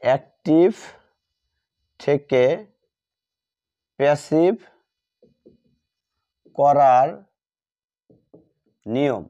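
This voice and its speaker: Man teaching grammar, slow and repetitive. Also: medium pitch, mellow. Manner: repeats key words slowly while writing. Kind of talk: classroom lesson at a board. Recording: dull lo-fi sound.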